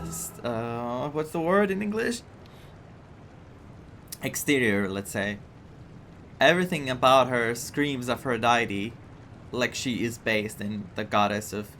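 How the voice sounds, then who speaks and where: A man from Greece with a Greek accent, a non-native English speaker giving casual commentary as he plays a game. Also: mid-range voice. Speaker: game streamer. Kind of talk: casual playthrough commentary, reading dialogue aloud. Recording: game music behind the voice.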